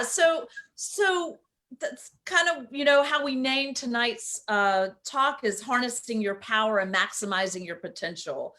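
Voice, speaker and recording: A woman's voice: medium pitch, sharp tone. A woman hosting an interview, a coach herself, chatting in a bubbly way and laughing often. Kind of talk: casual interview talk.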